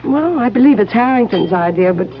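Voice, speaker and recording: A woman's voice: fairly high pitch, expressive, fairly quick, a little hiss or hum, natural voice. An actress playing a refined, poised young society woman who confides her worries in a 1970s radio drama. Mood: worried, confiding. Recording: muffled 1970s radio recording.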